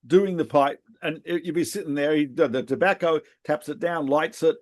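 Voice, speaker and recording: A man's voice: on the high side, normal speed. Australian-raised man telling stories. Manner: animated storyteller, laughs at his own anecdotes. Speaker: podcast co-host, rabbi. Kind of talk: casual reminiscing conversation.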